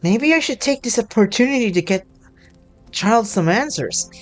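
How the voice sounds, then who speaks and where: A woman's voice: a little high, lively intonation, normal speed, soft background music. A woman streaming a game, commenting on the story and reading dialogue aloud with dramatic expression. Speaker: gaming streamer.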